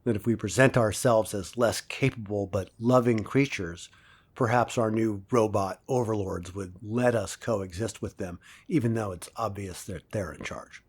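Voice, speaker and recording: An actor playing a philosophical male professor lecturing a class in an audio drama, deliberate and rhetorical, with emphatic pauses. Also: lowish voice, breathy edge. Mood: wry.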